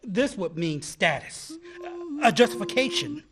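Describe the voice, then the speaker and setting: A man's voice: sits high, big pitch swings, a little slow, crowd noise, full character voice. A man playing an obsessive young male graduate student who laughs at his own jokes, in an amateur radio play. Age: young adult.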